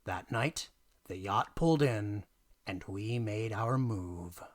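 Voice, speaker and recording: A man narrating a dramatic reading of a tabletop gaming story, theatrical and emphatic, pausing for effect. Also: on the low side.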